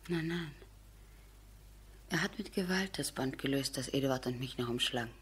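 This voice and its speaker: An actress playing a grieving, resolute noblewoman in a radio play, very breathy and often near a whisper. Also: extremely low pitch, normal speed, fairly bright tone, full character voice. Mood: anguished, resolute. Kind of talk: German radio play acting.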